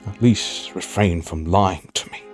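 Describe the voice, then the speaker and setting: A man's voice: low-pitched, measured pace, faint music behind. A man playing a proud, weary aging king and protective father in a fantasy audio drama, speaking with formal, stately diction. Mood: worried, tender.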